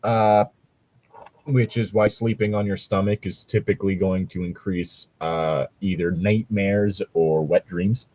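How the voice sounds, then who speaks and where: A man's voice: deep voice, fairly even intonation, measured pace, darkish tone, no echo. A man casually reading and critiquing a story as a podcast host, rambling, sweary and critical. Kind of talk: casual commentary with read-aloud passages.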